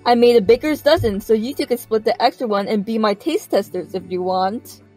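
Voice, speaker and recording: A woman's voice: a little high, a little fast, dark tone, soft background music, lightly acted, low-quality recording. Woman acting friendly Monferno bakery shopkeeper. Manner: chatty, welcoming. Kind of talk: audio drama dialogue.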